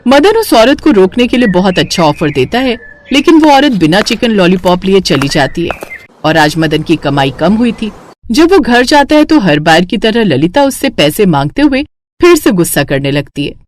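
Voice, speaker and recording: A woman narrating a scripted moral story as a storyteller, in an expressive style. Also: medium pitch, normal speed, slight background noise.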